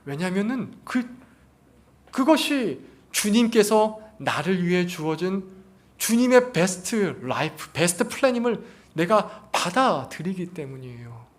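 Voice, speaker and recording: A male pastor delivers a sermon in a high-set voice. His intonation swings widely and animatedly. He puts questions to the congregation and repeats key phrases for emphasis. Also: very animated, measured pace.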